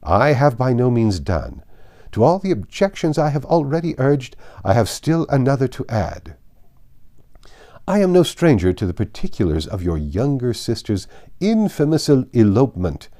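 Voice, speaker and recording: An imperious older noblewoman, as a male narrator acts her out in an audiobook. The delivery is formal and emphatic, swinging widely in pitch, full of offended pride and indignation. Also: dark tone. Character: haughty, indignant older aristocratic lady. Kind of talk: dramatized audiobook reading.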